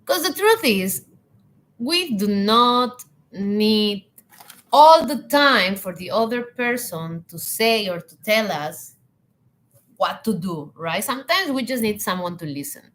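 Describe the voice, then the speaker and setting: Woman, Spanish first language, hospitality coach, earnest, pauses and restarts. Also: medium pitch, expressive, rough recording. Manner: earnest, warm-hearted advice with pauses and restarts. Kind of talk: talking to camera.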